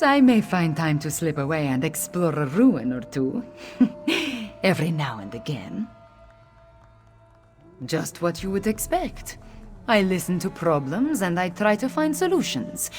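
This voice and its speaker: An actress voicing a young catfolk queen in a video game, speaking in a measured, earnest and formal way, regal and grateful. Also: low-pitched. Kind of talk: scripted video game voice acting.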